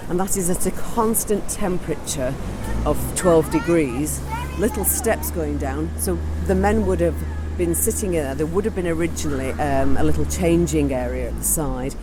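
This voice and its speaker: A woman's voice: deep voice, normal speed. A woman giving an informal guided tour as she walks outdoors. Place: outdoors, walking in a garden. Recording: outdoor field recording with ambient noise. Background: outdoor ambience.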